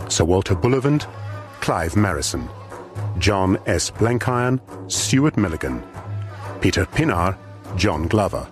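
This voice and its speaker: Male announcer, low-pitched with lively intonation, scripted radio drama credits over clear music. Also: measured pace. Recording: music behind the voice.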